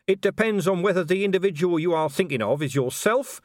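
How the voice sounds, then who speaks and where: Male narrator doing a blunt, sardonic veteran cop; dry wit, lively delivery, close dry sound. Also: high voice, fairly quick, dry recording, pitched above the performer's own voice. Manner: dry, mock-innocent sarcasm. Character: weary, blunt, sardonic police commander man.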